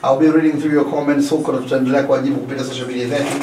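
A man's voice: medium pitch, level tone, rapid-fire, background noise. A man with an East African accent hosting a radio show, stretching his fillers and repeating phrases for emphasis. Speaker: radio host. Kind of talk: radio show talk mixing English and Swahili. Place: small radio studio. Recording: roomy echo, distant from microphone.

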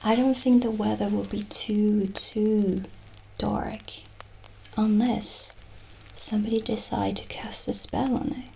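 A middle-aged woman playing a gentle, chatty witch shopkeeper in a soft-spoken, often whispered ASMR roleplay, speaking in a hushed, unhurried way. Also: medium pitch, steady tone, gravelly, a little hiss or hum, low-quality recording. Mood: hushed, welcoming. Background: original music.

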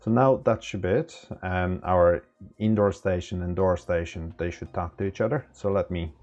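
A man in his thirties giving a relaxed step-by-step tech tutorial with lots of fillers, recorded at a desk indoors. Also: sits low, moderate pace, dull audio, soft background music. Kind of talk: casual screen-recorded tutorial. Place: small room indoors.

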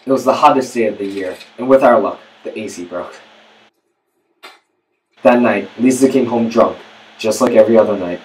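A man's voice: fairly low pitch, normal speed, long pauses, darkish tone, lightly acted. A man acting as a haunted, poor young father, narrating his bitter confession as a dramatic monologue. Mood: resentful. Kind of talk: first-person dramatic monologue narration with music.

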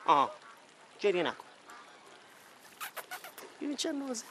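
A man's voice: very high-pitched, big pitch swings, pushed and bright, a little hiss or hum, exaggerated voice. A man playing a boastful, self-pitying character who talks theatrically, with mock praise and sulky complaints.

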